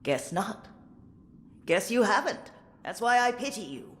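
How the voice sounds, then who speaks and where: An actress playing a world-weary, scornful old hag in a video game cutscene, drawling and resigned. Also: female voice, mid-range voice, animated, unhurried, natural voice. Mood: bitter, resigned.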